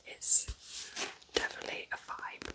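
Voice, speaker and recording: Woman whispering softly in chatty ASMR style. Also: unhurried. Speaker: ASMR host reviewing books.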